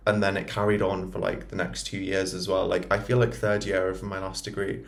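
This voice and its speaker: A man's voice: low voice, flat delivery, a little fast, vocal fry. A man in his early twenties with an English accent, talking informally as a podcast co-host and often dropping in "like". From Newcastle and London, England. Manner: chatty, informal, frequent "like". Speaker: podcast co-host, dental student. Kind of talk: casual chat.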